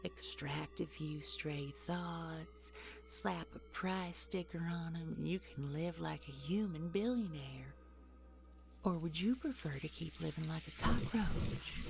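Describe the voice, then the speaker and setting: A woman's voice: low-pitched, slow, vocal fry, soft background music, exaggerated voice. A woman playing an ancient nightmare posing as a business executive in an audio horror drama, mock-sweet and menacing, with folksy, condescending pet names. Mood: taunting. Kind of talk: audio horror roleplay acting.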